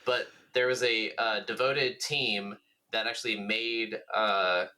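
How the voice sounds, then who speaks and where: A man's voice: fairly low pitch, fairly even intonation, slow, pushed and bright. A man co-hosting a gaming podcast, talking in a casual, conversational style. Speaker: podcast co-host.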